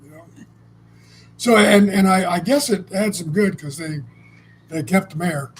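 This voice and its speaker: A man co-hosting a relaxed chat, speaking in a fairly high register. He tells stories in a blunt, salty, meandering way and often restarts his sentences. A faint noise sits under his voice. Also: measured pace. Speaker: co-host. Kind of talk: casual conversational storytelling.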